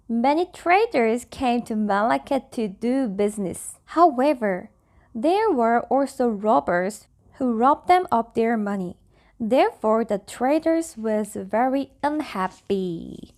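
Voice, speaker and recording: A woman from South Korea, a native Korean speaker, reading stories aloud and chatting in simple, careful non-native English. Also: fairly high pitch. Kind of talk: soft storybook reading and casual chat.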